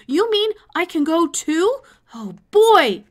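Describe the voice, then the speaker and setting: An excitable, earnest storybook boy, acted out by a woman reader. The voice jumps high and exclaims with open eagerness. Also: takes it slowly, exaggerated voice. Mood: thrilled.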